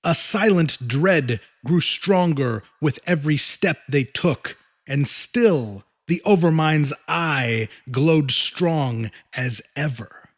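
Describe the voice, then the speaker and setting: A man's voice: a little high, takes it slowly. A man narrating a fiction audiobook in a dramatic, scripted storytelling style.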